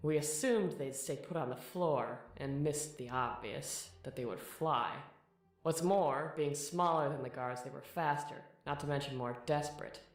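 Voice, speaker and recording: A woman's voice: low voice, measured pace. A woman playing a young female pegasus underling in an audio drama, earnest, deferential and carefully explanatory, in a roomy echo. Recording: roomy echo with faint music.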